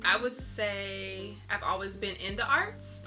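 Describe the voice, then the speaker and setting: Bright-voiced woman telling her story casually. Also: mid-range voice, slow pace, soft background music. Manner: candid, reflective, informal. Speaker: interviewed artist. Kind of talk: casual interview answers, storytelling.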